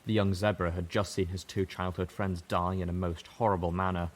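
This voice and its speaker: A man reading a story aloud in first person, steady and measured. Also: sits low. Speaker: audiobook reader. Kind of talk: first-person narration of fan fiction.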